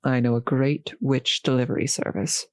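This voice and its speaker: A woman playing a courteous, gentle centuries-old vampire host in a roleplay audio drama, speaking politely and slightly formally to the listener, with soft hesitations. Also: very deep voice, steady tone, normal speed, dry recording, studio quality. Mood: hospitable. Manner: polite, softly hesitant, old-fashioned genteel phrasing.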